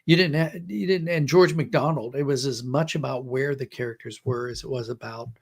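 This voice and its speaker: A man's voice: mid-range voice, brisk, creaky, breathy edge. A middle-aged or older man in a podcast conversation, talking reflectively about books like a storyteller and illustrating his points with acted-out quotes. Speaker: podcast guest, writer. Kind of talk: conversational storytelling and literary discussion.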